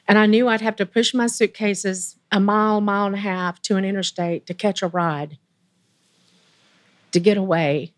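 A woman with a naturally low voice recounts a painful family memory as a guest in a conversation. She speaks earnestly and with feeling, pausing and restarting as she works through it. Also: fairly even intonation. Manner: emotional, earnest, pauses and restarts. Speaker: interview guest. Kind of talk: personal storytelling in conversation.

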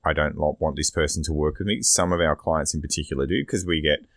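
A man's voice: rumbling low, steady tone, fast talker, a bit bright, no room sound, clean studio sound. A man with an Australian accent speaking as a podcast host in a casual, rambling, opinionated discussion. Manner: rambling, sweary.

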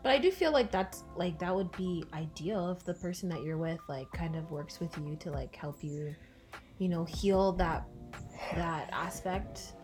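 A woman's voice: on the low side, unhurried, music in the background. Earnest young woman, Toronto accent. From Toronto area, Canada. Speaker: podcast co-host. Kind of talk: casual chat.

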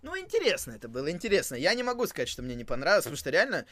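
Guy on a podcast, voice a bit high, casual, keeps restarting as he thinks, no room sound. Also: wildly swooping pitch, rapid-fire, sharp tone, no echo. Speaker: co-host of a wrestling podcast. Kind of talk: casual chat.

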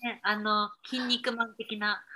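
A woman's voice: medium pitch, little pitch movement, slow, bright, forceful tone, rough recording. A woman co-hosting a radio-style podcast, chatting casually and reading out show announcements.

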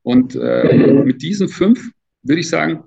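A man's voice: mid-range voice, expressive, unhurried, creaky, mellow. Man in his fifties, dull recording. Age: 50s. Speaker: athletic trainer and interview guest. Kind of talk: casual interview talk. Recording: dull, lo-fi audio.

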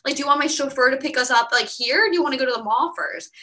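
A young woman from Venezuela, a podcast co-host, telling her own story in a casual, animated way full of "like" fillers. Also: high-pitched. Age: young adult. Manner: fast, filler-heavy, animated with frequent "like".

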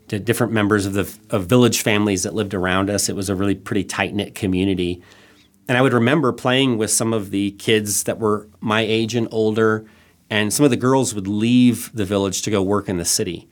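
A middle-aged man raised in Thailand, a guest on a podcast who answers reflectively with frequent restarts. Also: on the low side. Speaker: guest, nonprofit CEO. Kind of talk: podcast interview.

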